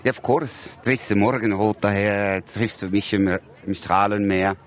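A man from Switzerland telling a personal story in an outdoor street interview, chatty and warm. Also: fairly low pitch, fairly even intonation, a little slow. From Uri, Switzerland. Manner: chatty storyteller, says "you know". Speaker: member of the public. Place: outdoors at a gathering.

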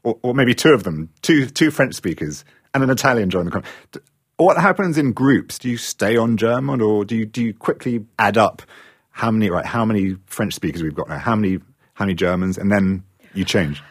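A man's voice: on the low side, lively intonation, brisk, husky, no echo, clean studio sound. A British man reporting and interviewing for a radio feature, wry and conversational. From Britain.

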